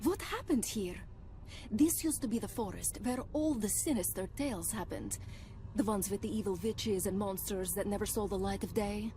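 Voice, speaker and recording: A woman's voice: medium pitch, normal speed, mellow, slight background noise, close to an everyday voice. A woman playing a sarcastic, playful ghostly female companion in a video game, teasing and theatrical. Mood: wry. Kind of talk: video game cutscene acting. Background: game music.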